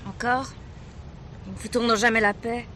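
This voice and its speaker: An actress playing a sullen, defiant runaway teenage daughter in a French radio drama, answering curtly. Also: female voice, fairly high pitch, steady tone, normal speed, pushed and bright. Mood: insolent, defiant. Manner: curt, defiant. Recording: noisy old radio drama recording.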